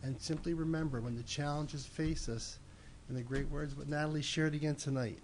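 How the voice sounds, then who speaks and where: Middle aged commissioner, plain steady talk, faint hum behind. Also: male voice, a little high, a little fast, poor audio. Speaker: city commissioner. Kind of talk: brief council remarks. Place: council chamber, seated at dais. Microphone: desk microphone.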